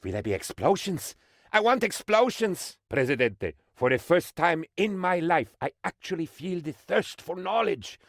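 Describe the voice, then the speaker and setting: A man voicing an excitable, pompous and dim-witted sycophantic aide to a dictator in a video game, with theatrical, naively enthusiastic delivery. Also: high voice, moderate pace, gravelly, dry recording, clean studio sound, exaggerated voice. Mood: giddy, excited. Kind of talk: video game character voice lines.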